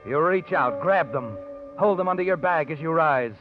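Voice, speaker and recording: A man's voice: on the high side, lively intonation, normal speed, close to an everyday voice. Guy doing a knowing, sinister 40s radio mystery narrator, thin phone-like sound, music underneath. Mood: ominous. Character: ominous, knowing male storyteller addressing the guilty. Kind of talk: 1940s radio suspense narration in second person. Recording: tinny old radio broadcast with music.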